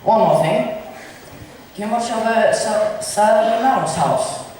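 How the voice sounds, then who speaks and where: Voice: medium pitch, unhurried, a little mellow, natural voice. A student actor performing simplified Shakespeare on stage in an amateur drama club play, delivering lines in an everyday voice. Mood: pompous, instructing. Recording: echoey hall from far off, faint noise.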